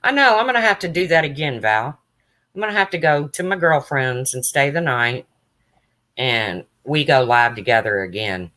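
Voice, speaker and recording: A woman with a low, raspy voice talks on her own live stream. Her tone is bright and forward-placed despite the rasp. She keeps it chatty and loose, answering viewers' comments one by one. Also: lively intonation, normal speed. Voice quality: deep raspy voice. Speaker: true crime livestreamer. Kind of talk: casual live stream chat.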